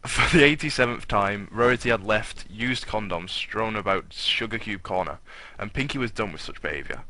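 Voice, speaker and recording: A man's voice: fairly low pitch, normal speed, bright, forceful tone. A man hosting and narrating a comic story in a dramatic reading style. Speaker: narrator and host of a comic fan fiction reading.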